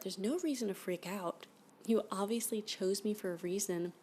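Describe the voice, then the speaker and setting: A woman playing a flirty, clingy alien abductee in an ASMR roleplay, gushing and halting, often dropping to a whisper. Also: lowish voice, mellow, close to an everyday voice. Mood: flirty, teasing. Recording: background noise.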